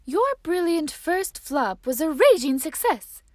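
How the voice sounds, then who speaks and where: A woman's voice: high voice, sing-song, a little slow, darkish tone, dry recording, clean studio sound, pitched above the performer's own voice. A woman voicing a spirited, encouraging elderly great-great-aunt in a children's storybook, speaking emphatically. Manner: emphatic, exclamatory.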